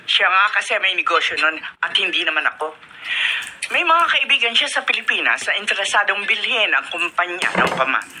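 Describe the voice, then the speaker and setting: An actress with a Filipino accent playing a domineering, money-minded middle-aged mother in a radio drama, brisk and insistent. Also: mid-range voice, sing-song, sharp tone, heavily acted. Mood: businesslike, persuasive. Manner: brisk, insistent, code-switching into English. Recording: noisy, clicky radio drama recording.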